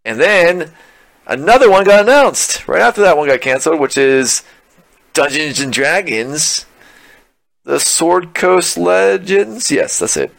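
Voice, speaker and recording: A man's voice: on the high side, lively intonation, measured pace, vocal fry, fairly bright tone, no room sound. A man hosting a casual podcast, rambling about games with frequent laughs and self-mocking asides. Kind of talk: casual chat.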